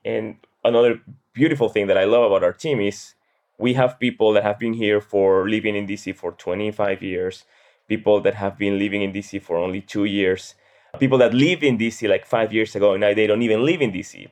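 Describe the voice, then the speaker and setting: Lowish-voiced Peruvian man in casual interview. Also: fairly even intonation, fairly quick, darkish tone. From Peru. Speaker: guest, comedian and satire writer.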